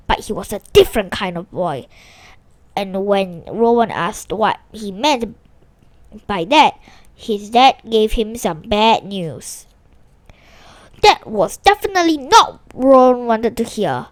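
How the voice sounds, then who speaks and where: A woman reading a children's story aloud with animated expression, now and then stumbling over a word. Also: on the high side, dry recording. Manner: animated, with small stumbles and restarts while reading.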